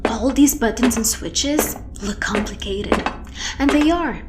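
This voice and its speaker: Wry, high-voiced clever heroine, played by a woman. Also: unhurried, very noisy, close to an everyday voice. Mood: wry confidence. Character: wry, clever, quietly confident young woman adventurer. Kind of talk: audio drama acting.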